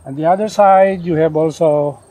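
An older man showing off his denim jacket outdoors, talking casually with lots of pauses and "uh" fillers. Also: a little high, steady tone, mellow, no room sound, rough recording. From Philippines. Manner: unhurried, many "uh" fillers and restarts. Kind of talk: casual show-and-tell. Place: outdoors in a leafy garden.

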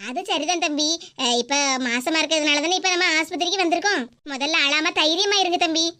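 Lady doing a calm kind neighbor auntie, soft reassuring talk, high bright voice. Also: a little fast. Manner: soothing, reassuring. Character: kindly middle-aged woman neighbor, calm comforter.

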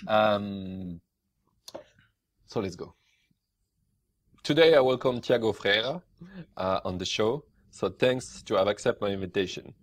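A man's voice: on the low side, measured pace, long pauses. A young man with a French accent, hosting a casual interview over a video call. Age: young adult. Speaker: podcast host. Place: small indoor room, video call.

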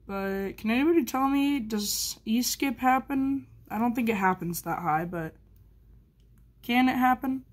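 A woman's voice: fairly high pitch, a little slow. A woman chatting informally in a hobby vlog, rambling and thinking aloud as she goes. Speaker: hobbyist video maker. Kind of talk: casual vlog chat.

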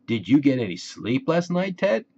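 Big friendly storybook dog, played by a man, lifted swooping pitch, eager and exclamatory, dull recording. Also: very animated, moderate pace, creaky, darkish tone. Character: big, friendly, excitable male cartoon dog.

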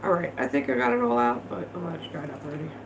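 A woman's voice: fairly low pitch, expressive, fast talker, gravelly, background noise. A woman in her thirties hosting a casual livestream at home, stuffed up from allergies, chatting bluntly and swearing freely while she works with her hands. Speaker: vlog host. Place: living room at home, indoors.

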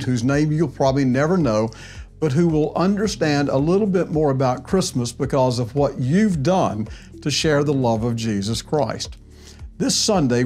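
A man in his sixties, a pastor giving a weekly church update from his small office on a lapel mic, in a pastoral tone that turns into prayer. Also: medium pitch. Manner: warm pastoral address to camera, ending in prayer. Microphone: clip-on lapel mic.

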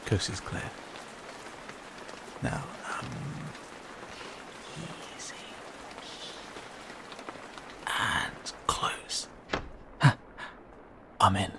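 A man voicing a cheeky, skittish young raccoon boy in an audio roleplay, muttering and grumbling with halting, sarcastic protests. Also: on the low side, creaky, fairly bright tone, exaggerated voice. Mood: furtive muttering.

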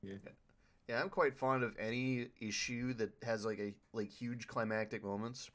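A man's voice: mid-range voice, steady tone, normal speed, fairly bright tone. A man chatting casually as a panelist and editor answering questions.

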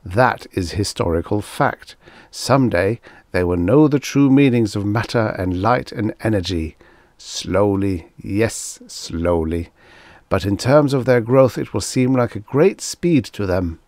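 A man's voice: fairly low pitch, measured pace, a little mellow, no echo. Guy playing a stern stubborn wind being in a storybook, stiff and scolding, dry close sound. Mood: stubborn, earnest. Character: stern, scolding, dutiful male wind creature.

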